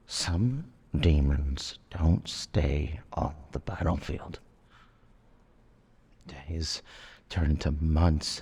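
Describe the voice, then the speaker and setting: A menacing, haunted war veteran in an audio drama. The voice is extremely low and gravelly, moving slowly and haltingly with theatrical brooding, in long cavernous reverb. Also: male voice, warm tone, heavily acted. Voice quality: gravelly, raspy. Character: menacing, traumatized male war veteran turned killer suspect.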